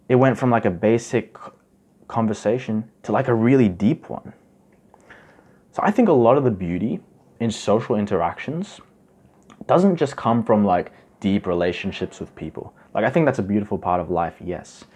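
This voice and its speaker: A young man from Indonesia talks to camera in a loose, chatty way. His voice sits on the low side, and he keeps leaning on "like" and "right?" while he explains. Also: a little mellow. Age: young adult. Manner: chatty, many fillers like "like" and "right?". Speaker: self-improvement YouTuber. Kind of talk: casual advice talk to camera.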